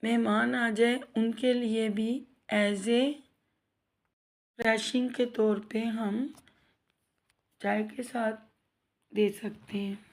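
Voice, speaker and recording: Woman cooking-vlog host, sore throat, slow measured delivery, fairly high but flat voice, chatty with viewers. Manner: chatty, addresses viewers as guys, asks for subscribes. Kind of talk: casual cooking vlog narration. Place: home kitchen.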